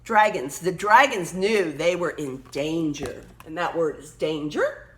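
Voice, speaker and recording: A woman reading a children's story aloud expressively, emphatically pausing to point out words and pictures. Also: sits low, a bit bright.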